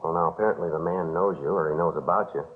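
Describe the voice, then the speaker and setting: An actor playing a businesslike, patient police sergeant in a 1950s radio drama, clipped and matter-of-fact. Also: male voice, deep voice, fast, close to an everyday voice. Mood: pressing. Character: businesslike, patient, dogged male police sergeant who narrates the case. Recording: narrow, muffled old radio recording.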